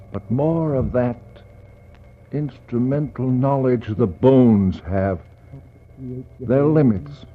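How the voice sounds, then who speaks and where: An actor playing a brooding polar explorer in a 1960s poetic radio drama, speaking in a solemn, declamatory way with dramatic pauses. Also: male voice, medium pitch. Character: brooding male polar explorer searching for a lost expedition. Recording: dull, noisy 1960s radio recording.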